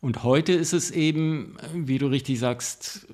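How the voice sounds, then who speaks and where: A man, a theatre critic and podcast guest, speaking thoughtfully and pausing with many fillers. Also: medium pitch, darkish tone. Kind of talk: podcast interview.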